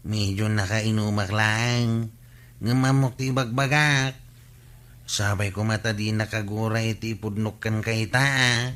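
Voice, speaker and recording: A man's voice: lowish voice, fairly even intonation, measured pace, fairly bright tone, clean tone. An Ilocano-accented actor playing a simple, eager village man, hesitant and giggly, in a comedy radio drama. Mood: nervous, pleading. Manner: hesitant, questioning hums and stammers, giggly.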